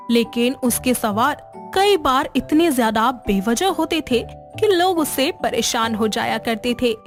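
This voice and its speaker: A woman narrator reading a scripted moral story in storybook style. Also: a little high.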